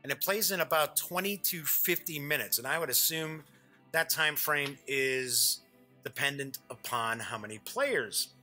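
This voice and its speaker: Casual show host, male, middle-aged: slightly high, bright voice, chatty, joking, digressive unboxing patter. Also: unhurried, soft background music. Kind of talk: casual live unboxing chat.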